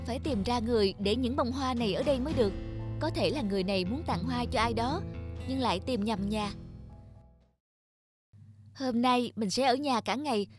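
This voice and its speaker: A woman's voice: on the high side, moderate pace, pauses often, music in the background, lightly acted. A woman voicing a polite, curious and caring young woman in a cartoon. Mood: determined, curious. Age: young adult. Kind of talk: cartoon voice acting.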